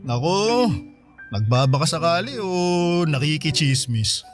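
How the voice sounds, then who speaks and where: An actor with a Filipino accent playing a good-humoured, hardworking bachelor who gives wry retorts in casual radio drama banter. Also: male voice, a little high, sing-song, a little slow, soft background music. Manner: wry, joking retorts among friends. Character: good-humoured, hardworking bachelor son, single male friend.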